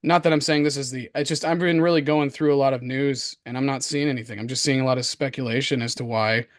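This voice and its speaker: A man with a hoarse voice co-hosts a casual market commentary show, talking in an excitable, slangy, repetitive way. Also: medium pitch, fast talker, no echo, studio quality. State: hoarse from coughing. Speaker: crypto show co-host.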